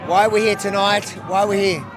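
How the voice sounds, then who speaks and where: A man whose voice sits notably high for a man, asking provocative questions on a city street. A dense crowd murmurs and shouts all around him. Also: little pitch movement, quick pace. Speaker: street reporter. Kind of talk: on-the-street interviews. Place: busy city street at night, outdoors.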